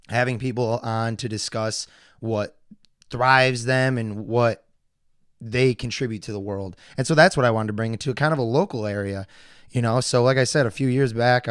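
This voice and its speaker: A man hosting a casual live solo podcast, hoarse today and rambling with many fillers and restarts. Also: medium pitch, no echo, studio quality. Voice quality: gravelly, usually hoarse. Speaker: podcast host.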